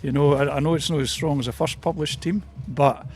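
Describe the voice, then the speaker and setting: A man's voice: on the high side, fairly even intonation, fairly quick, a little mellow, soft background music. A man with a Scottish Borders accent giving a casual, hesitant, rambling interview about his club.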